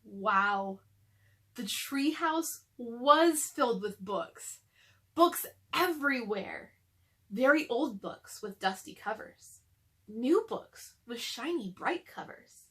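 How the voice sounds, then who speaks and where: A woman narrates a storybook for children. Her voice sits a little high and swings widely in pitch. She takes her time with each phrase. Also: lively intonation, takes it slowly, a bit bright. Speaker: children's read-aloud host.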